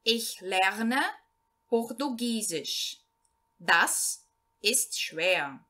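Female teacher reading a male learner-text character; slow, lively, bright. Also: mid-range voice, pitched below the performer's own voice, exaggerated voice. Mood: plain. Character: man introducing himself in a beginner text. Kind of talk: read-aloud language lesson.

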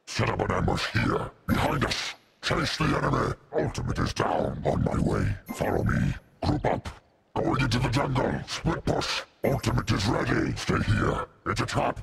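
An actor playing a menacing, hungry hellhound beast in a video game, with a gravelly growl and a slow, taunting, deliberate delivery. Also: male voice, medium pitch, noisy background. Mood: curt, menacing. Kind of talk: video game character voice lines.

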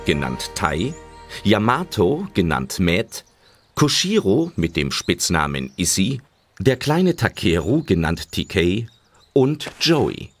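A man narrating a scripted children's adventure audio drama in a storytelling style. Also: low voice.

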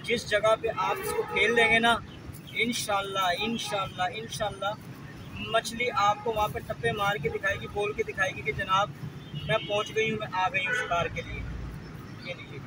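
A man's voice: high voice, normal speed. A man with a Pakistani accent giving an informal how-to vlog, explaining emphatically and repeating himself. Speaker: angler presenting a fishing tutorial. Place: outdoors in a park, later another spot after leaving it. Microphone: phone camera, some distance away. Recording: distant mic, noisy ambient background.

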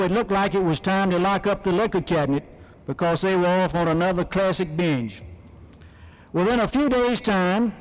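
A man with an American Midwestern accent giving a folksy, wry political speech at a convention, on an old archival recording. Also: high voice. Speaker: convention speaker. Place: large convention hall. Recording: 1970s archival recording in a large hall.

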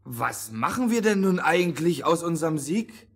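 An actor playing a good-natured soldier who leads a band of fellows in a fairy-tale radio play. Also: male voice, on the high side, sing-song, normal speed, a bit bright, breathy edge, heavily acted. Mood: merry, woozy.

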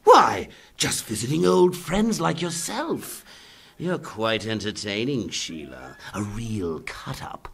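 A man's voice: medium pitch, sing-song, slow pace, gravelly, fairly bright tone, breathy edge, exaggerated voice. A man playing a sadistic, theatrical clown villain in a motion comic, taunting and drawling between cackles. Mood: menacing mockery.

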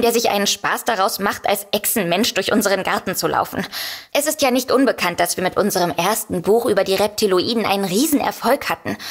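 Woman acting a composed, earnest novelist, medium pitch. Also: close to an everyday voice. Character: composed, matter-of-fact woman novelist, worried but sensible. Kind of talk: audio drama dialogue.

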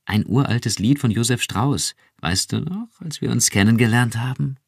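A man's voice: mid-range voice, normal speed, gravelly, breathy edge, no room sound, clean studio sound, pitched above the performer's own voice. A man voicing an earnest young wife who pleads insistently and fervently. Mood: tender.

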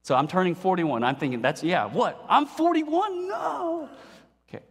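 A man in his forties preaching a sermon from a pulpit, animated and playful, asking the congregation questions. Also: high voice, moderate pace, breathy edge. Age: 40s. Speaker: pastor. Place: church stage at a pulpit, indoors. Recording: long reverb of a hall.

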